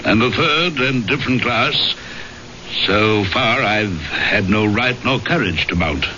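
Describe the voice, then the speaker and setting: A suspicious, brooding gentleman of rank with a bright, forceful voice. His delivery is formal and theatrical, in old radio drama style, through a dull recording with faint noise. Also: on the low side, animated, a little slow. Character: brooding, suspicious aristocratic husband and trophy hunter.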